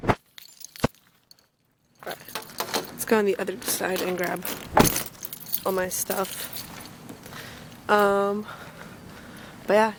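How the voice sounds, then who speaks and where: A young woman, a university student, chatting casually in a vlog with a slightly hoarse voice, upbeat and rambling, filled with ums. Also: mid-range voice, noisy background. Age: young adult. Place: outdoors on a campus, then an indoor study corner.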